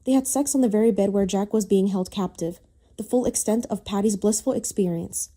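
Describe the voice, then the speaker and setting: A woman's voice: mid-range voice, a little fast, dark tone. A woman reading a scripted, dramatic story as its narrator.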